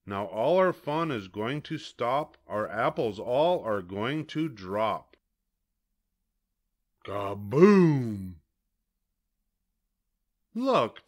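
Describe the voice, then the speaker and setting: An older man reading a children's picture book aloud in a slow, sing-song way, with sudden high, excited bursts. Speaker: storybook reader.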